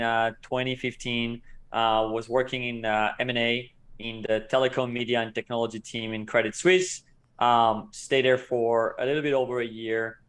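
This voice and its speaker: A man in his thirties who grew up in Israel, a company co-founder telling his story as an interview guest in a measured, explanatory way. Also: medium pitch, fairly bright tone. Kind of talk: casual interview talk.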